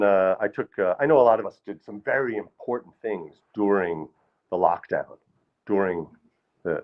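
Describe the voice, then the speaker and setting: Middle-aged male storyteller, self-deprecating and meandering, low-ish voice, muffled live recording. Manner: rambling, self-deprecating, many restarts. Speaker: storyteller performer. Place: small hall with live audience.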